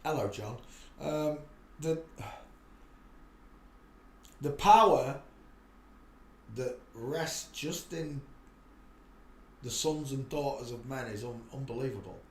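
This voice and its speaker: A man hosting a live stream, talking in a preachy, rambling monologue with frequent restarts and sudden loud bursts. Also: mid-range voice, slow pace, pauses often, roomy sound, a little hiss or hum. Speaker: livestream host.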